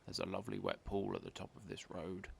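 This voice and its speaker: A man voicing a lumpy old toad in a storybook, helpful and weary, with a croaky voice and faint noise behind. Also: low-pitched, level tone, brisk.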